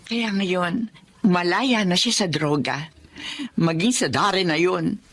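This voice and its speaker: A woman's voice: fairly low pitch, takes it slowly, a bit bright. A woman with a Filipino accent playing an anxious, emotional middle-aged wife and mother in a radio drama, plaintive in manner. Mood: relieved.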